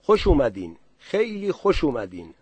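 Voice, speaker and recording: A man's voice: fairly high pitch, huge pitch swings, a little mellow, husky, no room sound, exaggerated voice. A cautious old village headman, as a narrator puts him on, polite to strangers but unsure of them. His voice is somewhat raised and recorded close and dry. He speaks in a hesitant, courteous way. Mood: wary.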